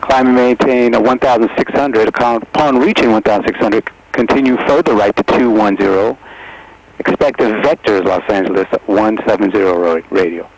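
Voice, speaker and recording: A man's voice: fairly low pitch, steady tone, brisk, gravelly, rough recording. A man working as an air traffic controller, giving formal instructions over an aviation radio. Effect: aviation radio transmission.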